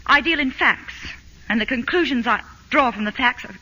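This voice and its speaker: Sharp, bright, sensible elder sister. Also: female voice, high voice, moderate pace, lo-fi sound. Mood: composed, dry. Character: sensible, exasperated older sister, professional woman. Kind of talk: 1940s radio comedy acting.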